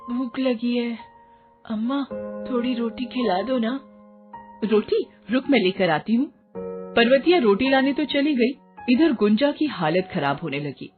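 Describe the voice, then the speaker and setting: Casting: storyteller for scripted horror tales, mid-range pitch, thin phone-like audio with music underneath. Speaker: narrator. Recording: tinny phone-like sound with music behind.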